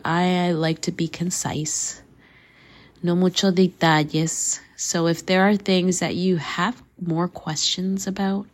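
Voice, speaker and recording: A woman in her thirties, hoarse from a flu, hosting a faith podcast in an earnest, casual way, laughing easily and dropping to a soft near-whisper. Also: lowish voice. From Canada. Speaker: podcast host.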